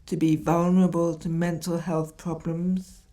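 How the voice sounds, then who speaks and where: A woman speaking reflectively and haltingly in a documentary, with deliberate pauses. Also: on the low side, little pitch movement, dark tone. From England. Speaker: deaf poet. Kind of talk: reflective spoken documentary, with read poetic lists.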